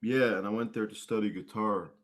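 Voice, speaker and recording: A man with a low-pitched voice speaks as a guest in a casual interview. His phrasing is hesitant and halting, in an unscripted conversational style. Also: steady tone. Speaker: guest, musician and martial arts instructor.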